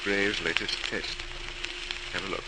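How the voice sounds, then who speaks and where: An actor playing a young, dedicated, courteous British doctor in a 1940s radio drama. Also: male voice, low voice, steady tone, unhurried. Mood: tired concern. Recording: very noisy, crackly 1940s radio recording.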